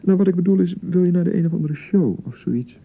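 A man acting an excitable young newlywed husband in a radio drama, by turns blustering and awkwardly pleading. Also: sits high, fast talker, gravelly, phone-quality sound. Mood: awkward, coaxing. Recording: thin, band-limited 1990 radio broadcast.

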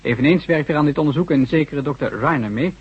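An actor playing a formal, sober radio newsreader delivering scripted bulletins in a radio play. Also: male voice, a little high, fast talker, very noisy, natural voice. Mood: formal, serious. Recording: dull vintage 1970s radio recording.

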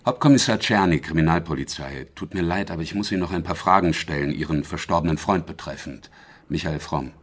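An actor playing a matter-of-fact police chief inspector asking questions in a radio crime drama. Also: male voice, a bass voice, a little fast, slight background noise. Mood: businesslike.

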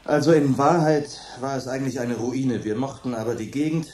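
A man's voice: mid-range voice, brisk, darkish tone, close to an everyday voice. An actor playing an earnest man proudly recounting how he found his country house, in a radio drama. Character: proud middle-aged man, new country-house owner. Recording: roomy studio, faint hiss.

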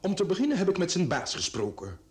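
An actor playing an excitable, flippant junior policeman in a radio drama. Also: sits high, fast. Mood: flippant, animated. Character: excitable, irreverent male policeman, junior to the inspector. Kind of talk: Flemish radio drama acting.

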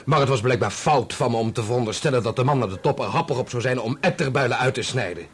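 An actor playing a wronged, bitterly sarcastic gentleman with a pointedly polite manner in a Dutch radio play. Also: medium pitch, quick pace, slight background noise, natural voice. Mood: scornful. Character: wronged, bitterly sarcastic gentleman defending his family's name.

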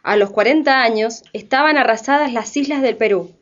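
A woman's voice: on the high side, a little fast, fairly bright tone, dull audio. A woman reading scripted narration for a historical radio drama.

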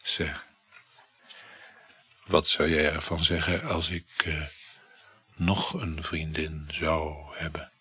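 Very deep, husky, reserved man. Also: little pitch movement, slow, pauses often, sharp tone, heavily acted. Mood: evasive. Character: reserved, evasive man humouring his talkative lover. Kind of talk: naturalistic Dutch radio drama dialogue.

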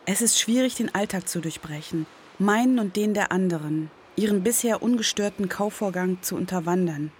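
A woman's voice: medium pitch, normal speed, a little mellow, slight background noise. A woman reading scripted, diary-like narration as the author of a documentary radio play. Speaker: author narrating her own experiment. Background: acoustic guitar music.

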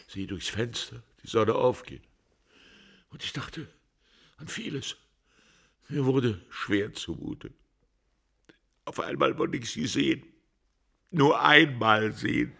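An actor playing a distraught, hard-drinking husband under questioning, halting and anguished and sinking into breathy near-whispers, in a German radio drama. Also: male voice, medium pitch, pushed and bright, full character voice.

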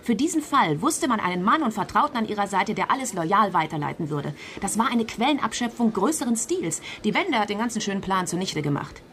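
An actress playing a self-assured, blunt public prosecutor in a crime radio drama, speaking directly and incisively. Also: female voice, mid-range voice, big pitch swings, brisk, hardly any pauses, a bit bright. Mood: insistent, explaining.

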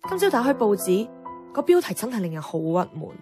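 An actress playing a frustrated, outspoken young radio worker in a Cantonese radio drama, with a Hong Kong accent and wry, expressive, colloquial delivery. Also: female voice, mid-range voice, fairly quick, lightly acted. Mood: wry, discontented. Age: young adult. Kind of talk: radio drama acting with inner-monologue narration. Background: background music.

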